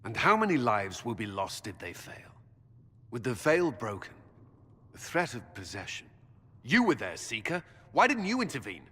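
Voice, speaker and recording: An actor playing a forceful, worried military man in a video game cutscene, insistent and challenging. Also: mid-range voice, sing-song, normal speed, slightly rough, full character voice. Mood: exasperated. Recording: echoing hall reverb.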